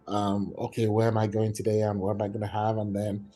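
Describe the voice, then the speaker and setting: A man's voice: on the low side, completely flat delivery, a little fast, dark tone, soft background music. A man from Nigeria, a startup founder, explaining his app in a casual online meetup with enthusiasm and many fillers.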